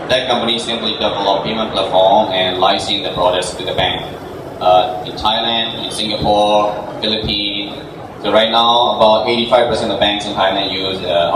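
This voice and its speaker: A middle-aged man with a Burmese accent and a lowish voice answers as a founder being interviewed live. His delivery is informal and hesitant. He sounds far from the mic in a heavily echoing venue. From Myanmar. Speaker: company founder, interview guest. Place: indoor live meetup event before an audience. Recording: very echoey, noisy venue from far off.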